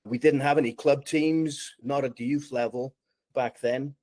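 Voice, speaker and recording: A Welsh-accented man in his sixties speaks as an interview guest at an even middle pitch. His telling is measured and unhurried. The sound is dry and close, with little room in it. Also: dry recording. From Wales. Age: 60s. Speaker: podcast guest. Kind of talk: relaxed interview storytelling.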